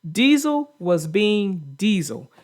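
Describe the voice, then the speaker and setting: A woman's voice: medium pitch, sing-song, slow, darkish tone. A woman hosting a casual discussion of a children's story for young listeners, speaking in an earnest, emphatic way with a moral point. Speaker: host and children's book narrator.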